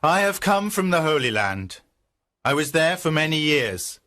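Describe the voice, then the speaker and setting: A man's voice: a little high, big pitch swings, a little slow, bright, forceful tone, pitched above the performer's own voice, exaggerated voice. A man voicing a tired crusader knight back from war, formal and courteous in a storybook reading.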